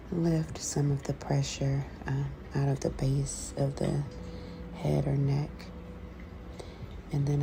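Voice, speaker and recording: A woman with a gravelly, creaky voice giving a halting, conversational explanatory voiceover as the host of an ASMR video. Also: sits low. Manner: unhurried, conversational, halting with pauses. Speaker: host, scratch therapy practitioner. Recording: clearly audible background noise.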